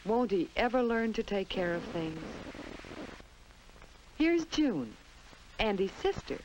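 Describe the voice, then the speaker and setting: A woman's voice: medium pitch, very animated, takes it slowly, darkish tone, slight background noise, low-quality recording. An American woman narrating a 1950s children's instructional film in a simple storytelling manner, on an old film soundtrack. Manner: simple, storytelling delivery addressed to young children.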